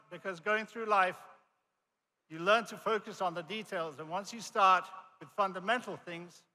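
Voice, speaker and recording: A man's voice: sits high, bright, forceful tone. An older man from Jamaica with a Jamaican accent, giving a friendly, storytelling commencement speech from a podium. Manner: friendly, storytelling, self-deprecating. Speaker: commencement speaker. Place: large hall. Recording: reverberant hall.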